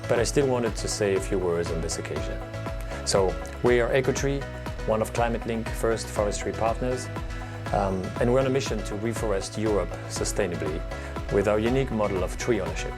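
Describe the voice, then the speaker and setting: A man's voice: lowish voice, fairly quick. A man, a company co-founder and CEO, giving a formal, scripted video message. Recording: music playing underneath.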